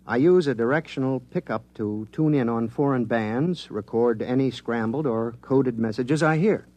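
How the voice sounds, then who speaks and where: A man's voice: mid-range voice, normal speed, warm tone, close to an everyday voice. An actor playing an earnest male military radio decoder who explains his technical work in a 1950s radio drama. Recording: vintage 1950s radio transcription.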